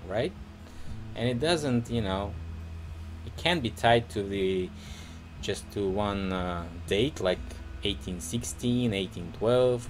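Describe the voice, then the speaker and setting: A man with a Russian accent talking through a casual walking vlog outdoors on a city street, rambling and halting with many restarts. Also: on the low side. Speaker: vlogger.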